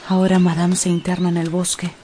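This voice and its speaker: A woman's voice: on the low side, monotone, quick pace, dark tone, a little hiss or hum. A woman narrating a radio drama from a script in a theatrical, emotive storytelling manner.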